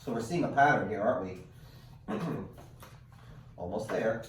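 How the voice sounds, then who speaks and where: Male storyteller for children's read-aloud, animated and dramatic, heavily reverberant room sound. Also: lowish voice, slight background noise. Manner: dramatic, drawn-out word emphasis. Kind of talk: expressive children's picture-book read-aloud with asides to parents and kids. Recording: echoey room, distant microphone.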